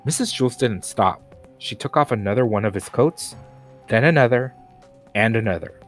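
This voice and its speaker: A man's voice: mid-range voice, lively intonation, normal speed, soft background music. A man narrating a children's storybook aloud as a scripted reading. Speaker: storybook reader.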